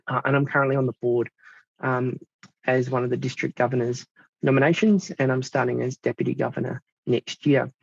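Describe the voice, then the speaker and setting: An Australian man in his thirties gives a presentation, pausing often with ums and uhs. His voice has a gravelly edge, and the sound is dry and a little dull, as on a lo-fi recording. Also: medium pitch, steady tone, dry recording. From South Australia. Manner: halting, many fillers. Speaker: club leader presenting. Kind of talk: presentation to a club audience.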